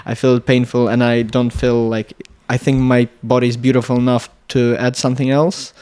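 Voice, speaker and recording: A man's voice: medium pitch, darkish tone. A young Latvian man, Russian by mother tongue, talks his way through a podcast interview in a mid-range voice. He halts often, restarts words and hunts for the right term, and keeps going at an easy, conversational pace. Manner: halting, searching for words, many restarts. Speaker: podcast guest, electronics engineer.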